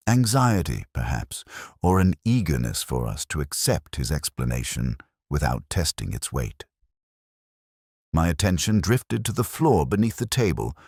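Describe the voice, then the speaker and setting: An extremely low-voiced man narrates a first-person mystery with measured, reflective phrasing. His pitch rises and falls expressively through each literary sentence. The sound is dry, with hardly any room around it. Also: no room sound. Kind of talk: scripted first-person detective story narration.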